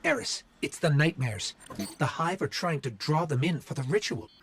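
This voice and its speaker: A man voicing a small, earnest, anxious robot companion in a video game, with an urgent, expressive manner. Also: on the high side, moderate pace, creaky, background noise. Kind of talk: video game cutscene acting.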